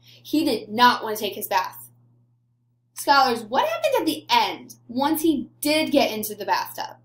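A young woman, a teacher, explaining a story to small children in simple, expressive talk. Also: fairly high pitch, unhurried, echoey room. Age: young adult. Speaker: elementary school teacher. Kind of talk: read-aloud discussion addressed to young children. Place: small plain room indoors.

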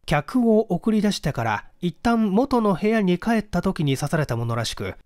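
A man narrates an audiobook mystery in a slightly high voice. His intonation moves widely and expressively, while the delivery stays formal and measured. The recording is dry and close. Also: a little mellow, no echo. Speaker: narrator reading a classic mystery story aloud. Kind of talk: dramatic scripted audiobook narration in first person.

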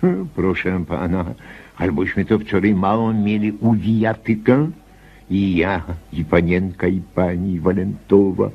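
An actor playing a deferential, folksy, rambling household servant in an old-fashioned radio play. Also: male voice, deep voice, fairly even intonation, a little slow, husky, dull audio, heavily acted. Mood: chatty, complaining. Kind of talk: radio drama acting.

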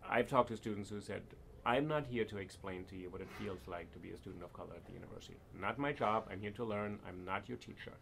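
A man's voice: fairly low pitch, fast talker, vocal fry, few pauses, a bit bright. A man hosting a podcast interview, asking probing questions and thinking aloud. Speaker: podcast host. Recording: faint background noise.

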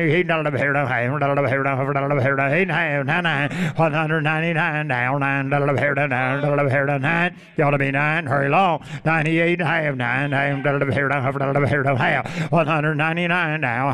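A man working as a livestock auctioneer, calling bids in a fast rhythmic chant with rolling filler words. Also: a little high, a bit bright, clear, resonant tone. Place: auction studio.

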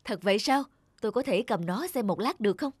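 A woman's voice: medium pitch, lively intonation, fairly quick, slightly rough, no echo. A woman voicing a sly male traveller and thief in a cartoon folk tale, ingratiating and inquisitive. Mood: curious, sly.

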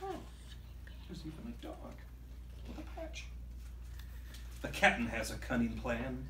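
A man reads to a class of young children with a very lively, expressive delivery. His voice is bright and rings around an echoey classroom, picked up from across the room. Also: medium pitch, a little slow, recorded from a distance. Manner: expressive, playful. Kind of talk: animated picture-book read-aloud with chatty asides. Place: school classroom, standing before seated children. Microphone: distant camera microphone. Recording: echoey classroom from afar, faint noise.

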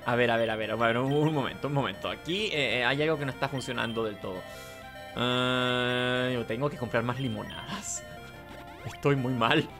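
A Chilean man hosts a casual gaming playthrough in a middling-pitched voice. He talks to himself hesitantly and repeats words, with game music playing behind him. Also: moderate pace. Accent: Chilean Spanish. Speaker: gaming video host. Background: video game music behind the voice.

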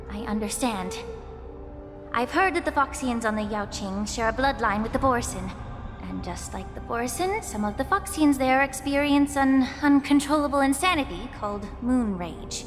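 Poised young alchemist,, fairly high, measured and analytical, game dub, reverb, music under. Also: female voice. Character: poised young woman alchemist, calm and thoughtful. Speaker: game character. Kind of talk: dubbed game dialogue.

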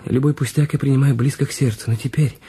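A man's voice: medium pitch, steady tone, quick pace, dark tone, breathy edge. A man acting an anxious, guilty husband in a radio play, speaking in a hesitant, faltering, self-questioning way. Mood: tender, contrite. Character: Raoul Duval, anxious, guilty husband with secrets. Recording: music bed under the voice.

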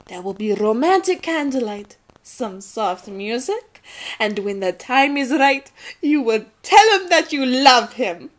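A woman plays an excitable enchanted castle maid in an audio drama. Her voice is high and swoops widely, at an unhurried pace. She is theatrical and encouraging.

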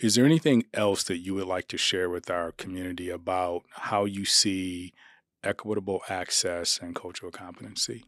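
Deep affirming middle-aged male host. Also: fairly even intonation, moderate pace, a bit bright, no echo, clean studio sound. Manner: affirming, frequent murmured agreement. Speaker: podcast host, district official. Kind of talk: interview hosting. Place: podcast studio room. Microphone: studio boom mic.

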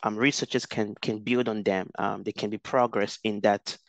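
A man's voice: fairly low pitch, little pitch movement, normal speed, no echo. A young man from Nigeria, a researcher interviewed over a video call, speaking thoughtfully with many restarts and fillers. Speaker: guest researcher. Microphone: headset.

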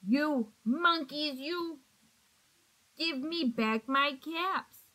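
A woman voicing an exasperated male street peddler in a storybook, with loud, drawn-out, emphatic calls. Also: high voice, full character voice. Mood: angry. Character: exasperated male cap peddler calling out and scolding.

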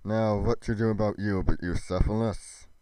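A man's voice: lowish voice, steady tone, moderate pace, dark tone, slight background noise, lightly acted, poor audio. A man playing a proud, defiant hero warrior in a fantasy game roleplay, with formal, declamatory delivery. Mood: musing. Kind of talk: theatrical fantasy roleplay acting.

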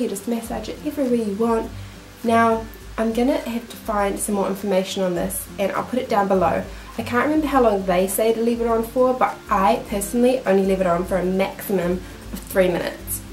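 A woman's voice: medium pitch, a little mellow. Young kiwi woman with a cold, nasal, chatty beauty vlog talk, echoey little room. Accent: New Zealand. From New Zealand. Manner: chatty and enthusiastic, shows products to camera. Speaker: beauty vlogger. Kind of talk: casual product review talk. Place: small room indoors, seated. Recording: roomy echo.

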